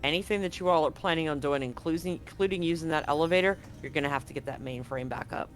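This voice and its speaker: A man's voice: fairly high pitch, quick pace, soft background music. Man running a tabletop game. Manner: rambling, self-correcting descriptions. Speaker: game master.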